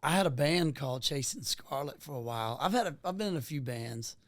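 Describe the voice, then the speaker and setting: A man's voice: a little high, expressive, a little fast, a bit bright, no echo. Southern guy from louisiana, folksy rambling podcast chat, dry close sound. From Louisiana, USA. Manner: folksy, rambling, peppers talk with "man". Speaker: guest, working musician.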